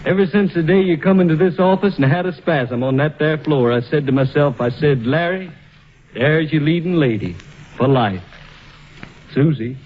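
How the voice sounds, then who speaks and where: An actor playing a bashful, folksy cowboy film star and clumsy suitor, talking in halting, rustic phrases in a radio comedy. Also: male voice, a little high, close to an everyday voice. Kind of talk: old-time radio comedy acting. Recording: muffled, noisy old radio recording.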